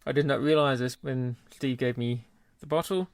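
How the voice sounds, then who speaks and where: A man's voice: medium pitch, fairly even intonation, normal speed, no echo. A man co-hosting a podcast, talking in a casual, upbeat and jokey way. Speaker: podcast co-host. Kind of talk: casual chat.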